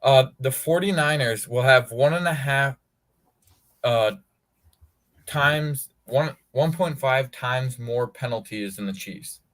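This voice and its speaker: A man speaks slowly in a casual podcast chat. He hesitates and restarts as he works his way through each point. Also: medium pitch, a bit bright. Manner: hesitant, halting.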